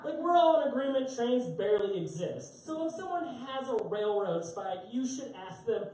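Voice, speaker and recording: Young guy doing standup, rambly and self-mocking, mellow voice, super echoey room, taped from far back. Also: extremely high pitch, sing-song, moderate pace. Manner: rambling, self-mocking, laughs at his own stories. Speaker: stand-up comedian. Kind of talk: live stand-up storytelling. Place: small dim club stage, indoors. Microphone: handheld mic, heard through a far camera. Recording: roomy echo, distant live capture.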